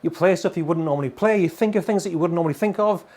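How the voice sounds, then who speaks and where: A man in his thirties giving an excitable, informal gear demo as a guitar reviewer. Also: high-pitched, very fast. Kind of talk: enthusiastic casual product demo. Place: indoor guitar studio room. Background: electric guitar playing.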